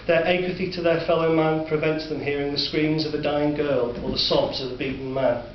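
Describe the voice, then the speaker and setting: A man with a Northern English accent narrating a dark story in a measured, sombre way. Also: a little high, level tone. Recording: distant, roomy, dull recording with faint hiss.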